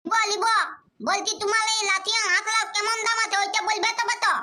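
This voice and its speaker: A childlike village cartoon character with a very high, piercingly bright voice. The words come out with a babyish lisp in a Purulia Bengali accent. The character bargains in a nagging, pleading way. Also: flat delivery, quick pace, full character voice. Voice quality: very high, squeaky, babyish lisped consonants. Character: childlike cartoon villager haggling eagerly with an old seller. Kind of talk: comedy cartoon dialogue.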